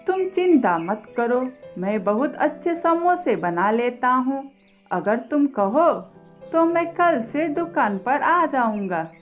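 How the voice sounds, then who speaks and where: A woman's voice: high-pitched, very animated, takes it slowly, soft background music, exaggerated voice. A woman voicing a chummy, persuasive male crow in a cartoon story, gushing and eager.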